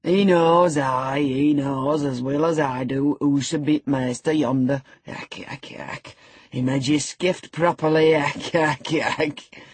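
A woman voicing a gloating old male farm servant with a croaking laugh. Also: low voice, steady tone, takes it slowly, a little mellow, no room sound, pitched below the performer's own voice, exaggerated voice. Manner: gloating, drawling.

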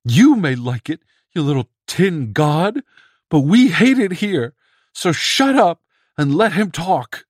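A man voicing a pathetic, desperate male castaway who talks in a whiny, ingratiating tone and breaks into shrill screams. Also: slow, darkish tone, husky, dry recording, clean studio sound. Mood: screaming. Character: pathetic male spaceship castaway, whining then threatening.

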